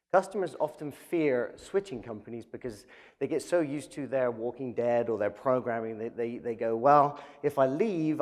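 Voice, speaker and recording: A man's voice: medium pitch, darkish tone, long reverb tail. A man with an English accent presenting his startup at a live event, talking conversationally with many fillers. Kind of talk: business pitch presentation.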